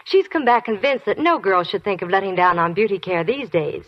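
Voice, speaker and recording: American lady in an old 1940s radio ad, chatty but scripted, very lively, dull sound. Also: medium pitch, brisk. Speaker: radio advertising spokeswoman.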